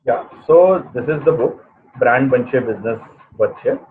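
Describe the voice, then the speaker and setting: An Indian man whose first language is Gujarati, a brand consultant and author, talking haltingly with many fillers and Hindi mixed into his English. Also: mid-range voice, animated. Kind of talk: casual video interview. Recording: tinny phone-like line, faint noise.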